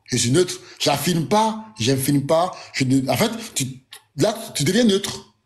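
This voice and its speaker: A man arguing as a panelist in an online debate. His voice sits fairly high. He presses his point insistently, repeating phrases in quick bursts. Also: animated.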